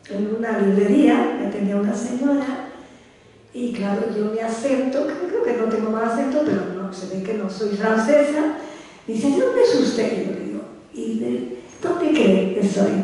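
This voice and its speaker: Spanish woman lecturer, fairly high and mellow, chatty anecdotes, miked from far off in a reverberant hall. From Spain. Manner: chatty storyteller acting out quoted dialogue. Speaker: speaker giving a talk. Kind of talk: anecdotal lecture to a live audience. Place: large hall.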